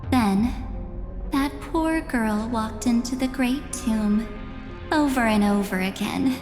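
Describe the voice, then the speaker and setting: A mysterious young woman from a fantasy video game. She speaks very slowly and deliberately, with calm, theatrical condescension. Her voice rings through a long hall reverb over background music. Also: fairly high pitch. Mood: grave, condescending.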